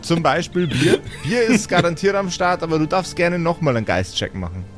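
Male game master, lively intonation, playful and teasing, improvised fantasy storytelling over soft music. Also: fairly high pitch, fairly quick. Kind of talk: improvised storytelling for a tabletop role-playing podcast.

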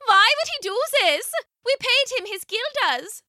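A woman's voice: big pitch swings, a little slow, bright, forceful tone, no echo, studio quality, full character voice. A woman voicing an anxious, outspoken village woman who cries out with urgency. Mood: alarmed. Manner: high, urgent crying out.

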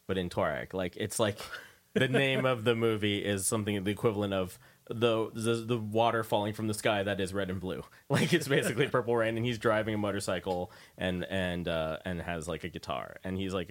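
A man's voice: fairly low pitch, a bit bright. A man hosting a casual interview show on the radio, chatting informally from a studio.

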